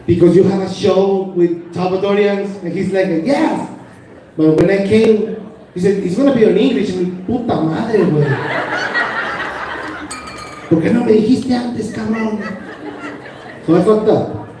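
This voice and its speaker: A man's voice: high-pitched, measured pace, pauses often, background noise. A Mexican-born man, a native Spanish speaker, doing a stand-up comedy set in broken English mixed with Spanish slang, self-mocking. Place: comedy club stage. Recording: echoey room from far off, crowd behind.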